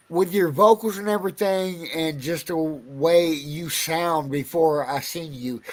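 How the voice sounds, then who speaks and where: A man, hosting a casual podcast chat, loose and swearing, laughing often. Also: fairly high pitch. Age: 40s. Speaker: podcast host.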